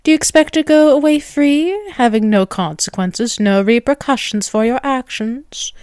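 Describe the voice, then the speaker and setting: A woman's voice: high-pitched, a little mellow, clean tone, no room sound, clean studio sound, close to an everyday voice. A woman voicing a dominant, ageless vampiress in an ASMR roleplay, teasing and condescending, drawing out her words. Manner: slow, condescending, teasing, with drawn-out words and chuckles. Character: dominant, taunting ageless vampiress toying with a captive human.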